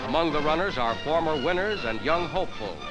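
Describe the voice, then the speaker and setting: A man's voice: on the high side, expressive, a little fast, poor audio. A man with an American accent narrating a 1940s newsreel in a formal, declamatory announcer style. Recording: 1940s newsreel soundtrack, loud music behind.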